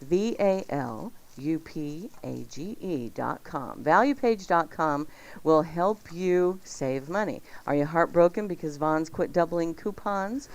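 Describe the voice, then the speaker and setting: A woman hosting a radio cooking show with lively banter and promotional patter. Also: low voice, unhurried.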